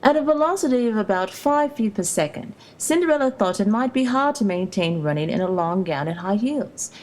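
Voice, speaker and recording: A woman's voice: mid-range voice, lively intonation, fairly quick, darkish tone. A woman reading scripted storytelling narration for an educational video, explaining in a storybook-like way. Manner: storybook-like, explanatory delivery with rhetorical questions. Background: music.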